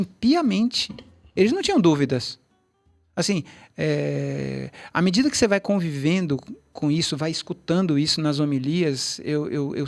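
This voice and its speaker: A middle-aged man, a tai chi teacher, telling long rambling stories in a casual interview, with many restarts and asides. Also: high-pitched. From Brazil, Bahia.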